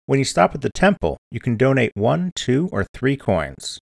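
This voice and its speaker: A man giving scripted instructional narration in a clear, methodical, step-by-step manner, as a tutorial presenter. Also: mid-range voice, big pitch swings, normal speed, dry recording, clean studio sound. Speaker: board game tutorial presenter.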